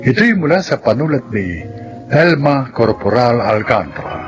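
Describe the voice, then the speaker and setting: A man's voice: mid-range voice, sing-song, moderate pace, faint music behind, low-quality recording. A man with a Filipino accent narrating as a radio drama announcer in a formal, theatrical scripted style.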